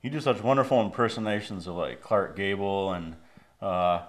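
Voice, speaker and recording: A man from Michigan asks his questions in quick, eager bursts full of little fillers. His voice sits fairly low. He speaks into a handheld mic in a living room. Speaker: interviewer. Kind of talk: casual interview chat.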